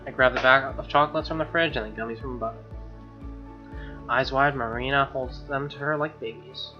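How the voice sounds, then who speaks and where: A man's voice: mid-range voice, steady tone, a little fast. A young man doing casual, slangy game commentary and reading the narration aloud, often sounding exasperated. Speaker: gamer doing a playthrough. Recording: dull lo-fi mic, music underneath. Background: game music.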